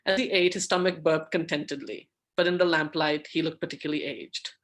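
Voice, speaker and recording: A man's voice: on the high side, steady tone, fairly quick, a bit bright. An Indian American man, an author on a video call panel, introducing his work in an earnest, flowing way and reading a passage of fiction. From United States, Indian American.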